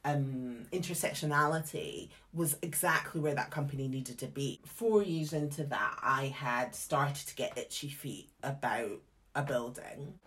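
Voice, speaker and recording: A Scottish woman in her thirties with a low voice tells her career story to interviewers. She is frank and lively, full of casual asides and quick restarts. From Scotland. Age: 30s. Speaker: guest, theatre artistic director. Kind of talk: candid conversational storytelling.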